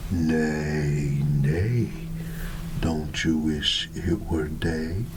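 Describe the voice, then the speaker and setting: Man playing a wise gray mare, deep, gentle, slow drawn-out neighing, bedtime storybook, background ambience. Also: steady tone, exaggerated voice. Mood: wistful, sleepy. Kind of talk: storybook character voice, ASMR. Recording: clear background ambient noise.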